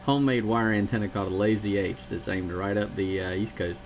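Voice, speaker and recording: A man on CB radio, chatting quickly and casually about his equipment. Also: on the low side, steady tone. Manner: quick, chatty gear talk with fillers. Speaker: CB radio hobbyist. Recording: noisy CB radio signal.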